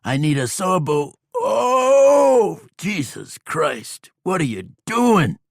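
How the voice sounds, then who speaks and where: A wounded outlaw in an audiobook, pitched far above his own deep voice and strained with panic. He yelps and cries out in pain, and the voice sits close and dry with no room sound. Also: expressive, slow, creaky, bright, forceful tone, no echo, full character voice. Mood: panicked pain. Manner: yelping, howling and crying out in pain. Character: injured frightened male outlaw, panicky. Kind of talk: audiobook character voice.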